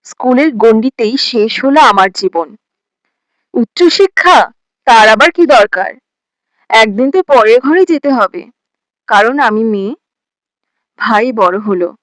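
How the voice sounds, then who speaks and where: Female poetry reciter, high register, measured pace, theatrical and emotive phrasing. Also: no echo, rough recording.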